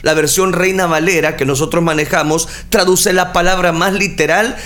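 A man preaching a sermon, speaking earnestly and addressing the listeners directly, with frequent pauses and fillers. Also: high-pitched, fairly even intonation, a bit bright. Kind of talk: sermon on scripture.

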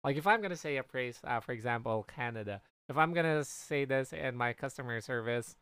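A woman with a strikingly low, smooth voice chats playfully on a podcast, giggling as she trades slang. The sound is close and dry, with no room around it. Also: lively intonation, fairly quick, a bit bright, no room sound. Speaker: podcast co-host. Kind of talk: casual chat.

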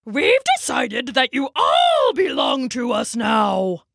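A woman voicing a gloating, cruel goblin leader for a storybook, with a gravelly voice and a mocking, drawn-out delivery. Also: fairly high pitch, huge pitch swings, fairly bright tone, dry recording, shouting, clean studio sound, exaggerated voice. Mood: gloating, bossy. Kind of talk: storybook character voice.

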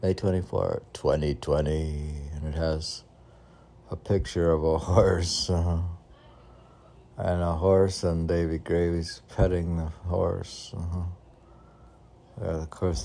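A man reading his own poetry, with rambling casual asides in an unhurried, halting, wry way. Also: low voice, little pitch movement, warm tone, slight background noise. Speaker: poet reading own work.

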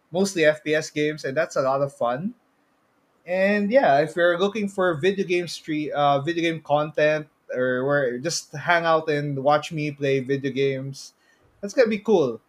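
A man hosting a podcast in a casual, rambling chat style, often repeating himself. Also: a little high. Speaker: podcast host.

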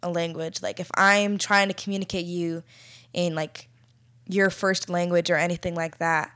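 A woman's voice: fairly low pitch, flat delivery, normal speed, a bit bright. A young American woman co-hosting a podcast, talking earnestly and reflectively in a casual way, with lots of "like" fillers. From United States. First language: English. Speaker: podcast co-host. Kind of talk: casual conversational chat.

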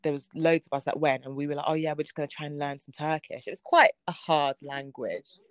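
A woman's voice: low-pitched, a little fast, no room sound. A young woman guest telling travel stories in casual chat, laughing often. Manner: laughs often, chatty storytelling.

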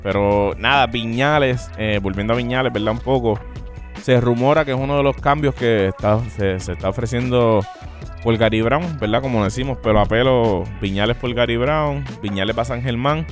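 A man with a Puerto Rican accent co-hosting a casual sports podcast, teasing his partner and often repeating himself in quick denials. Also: mid-range voice, faint music behind. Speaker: podcast co-host.

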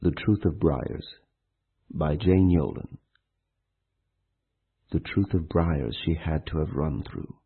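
A man's voice: a bass voice, slow, creaky, long pauses, slightly rough. A man reciting a poem as a scripted reading. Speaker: poetry reader. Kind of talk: poetry recitation. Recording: very thin phone-like sound.

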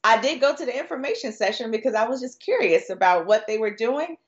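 A woman's voice: fairly high pitch, lively intonation, fast, highs cut off. An American woman answering conversationally as an expert guest on a podcast, chatty and inclined to act out short quotes. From Florida, United States.

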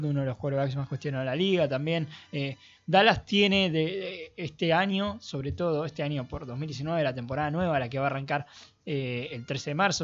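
A man with an Argentine Spanish accent co-hosting a sports podcast, giving opinions while thinking aloud with many fillers. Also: fairly high pitch. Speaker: podcast co-host. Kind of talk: casual sports opinion chat. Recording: muffled lo-fi audio with faint music.

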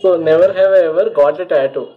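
A man hosting a podcast, reading out game prompts and reacting quickly to his guest. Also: on the high side, phone-quality sound. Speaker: podcast host. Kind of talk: casual chat.

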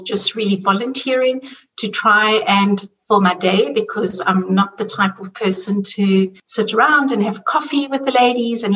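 A woman's voice: medium pitch, fairly bright tone. A middle-aged woman with a South African accent, answering interview questions conversationally as a podcast guest. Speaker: podcast guest, money coach. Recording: distant, off-mic voice.

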